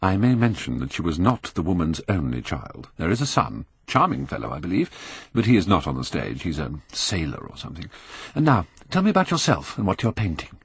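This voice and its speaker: A man voicing a vain, callous young gentleman in an audiobook, British, careless and petulant in manner. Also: low-pitched, huge pitch swings, a little fast, slightly rough, full character voice. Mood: bored, careless. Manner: careless, petulant, self-possessed. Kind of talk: audiobook character acting.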